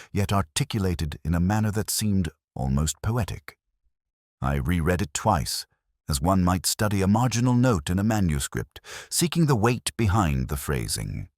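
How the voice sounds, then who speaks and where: A man reading a scripted first-person detective story in a measured, reflective, literary manner. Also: low voice, no echo, clean studio sound. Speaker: audiobook-style story narrator.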